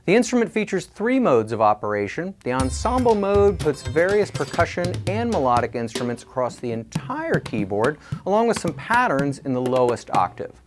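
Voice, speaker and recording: A man delivers scripted narration for a gear review, his pitch moving in an animated way over music. He explains features clearly, in measured and orderly phrases. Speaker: product reviewer. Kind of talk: scripted product demo narration. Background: music and instrument demos.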